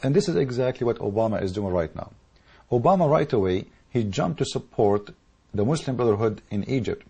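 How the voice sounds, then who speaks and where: Male commentator, English, dark mellow husky voice, heated monologue with repeated restarts. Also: fairly low pitch. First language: Arabic. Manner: rhetorical questions, repetitive restarts, emphatic. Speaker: political commentator. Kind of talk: impassioned monologue to camera.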